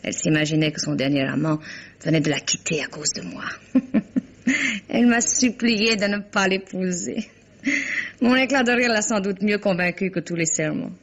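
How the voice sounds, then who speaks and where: A woman's voice: fairly low pitch, animated, moderate pace. An actress playing a proud, haughty society woman from Spain in an emphatic vintage French radio mystery drama. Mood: earnest, dramatic. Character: proud, theatrical Spanish society woman named Carmen, accused. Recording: dull old lo-fi radio recording.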